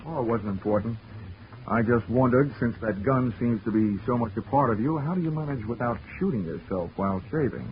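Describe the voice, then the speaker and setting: An actor playing a hard-boiled insurance investigator, deadpan and wisecracking, in 1940s radio detective style. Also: male voice, mid-range voice, fairly quick, background noise, natural voice. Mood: sardonic. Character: wry, hard-boiled insurance investigator narrating his case. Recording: muffled 1940s radio recording with music.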